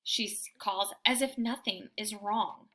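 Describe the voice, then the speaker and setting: A woman reading a children's book aloud in an animated, emphatic storytelling style. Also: medium pitch, a little slow, slight background noise. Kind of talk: expressive children's book narration.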